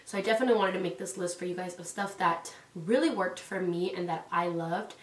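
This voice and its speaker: A woman's voice: on the low side, a little fast, far from the mic. A young woman from California vlogging casually about parenting, rambling with lots of "like" and "um," sounding stuffy and congested from a cold. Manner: rambling, many "like"s and "um"s. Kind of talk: casual chatty vlog. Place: indoors at home. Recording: echoey room, away from mic.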